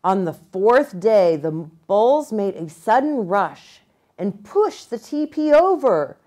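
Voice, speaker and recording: Slow, expressive American woman reading a storybook. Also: medium pitch. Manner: expressive, deliberate. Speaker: pastor reading to children. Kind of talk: children's storybook narration. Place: small furnished room, indoors.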